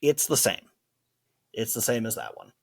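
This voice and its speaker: A man's voice: fairly low pitch, animated, moderate pace, creaky. A man co-hosting a casual podcast, chatting with nostalgic enthusiasm and restarting his sentences often. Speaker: podcast co-host. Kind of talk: casual chat.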